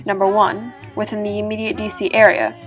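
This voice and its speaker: A woman's voice: mid-range voice, level tone, fairly quick, dull audio. Woman narrating a story over music. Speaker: narrator reading an online horror story about a video game. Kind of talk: scripted narration.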